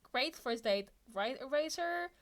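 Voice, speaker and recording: A woman voicing a loud, playful, teasing male hero in a fan fiction reading. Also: high-pitched, animated, a little slow, pitched above the performer's own voice. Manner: bold, exclaiming, teasing.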